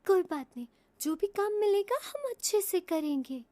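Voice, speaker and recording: A cheerful little sparrow wife, as a woman puts her on for a cartoon, in a voice pitched far above her own. She sounds sweet and comforting, with a soft, mellow tone. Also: sing-song, normal speed, dry recording. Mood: reassuring. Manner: sweet, reassuring.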